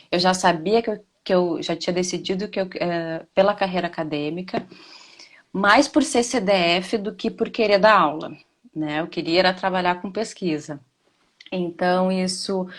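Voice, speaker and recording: A woman teacher with a Rio Grande do Sul accent, chatting casually on a video call with frequent hesitant restarts. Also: on the low side. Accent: Rio Grande do Sul Portuguese. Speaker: administration teacher. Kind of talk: casual live class chat.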